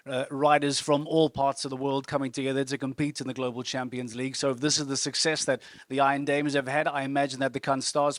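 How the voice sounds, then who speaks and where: A man hosting a press conference, moderating with lively, friendly jokes. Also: mid-range voice, no echo. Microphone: handheld microphone.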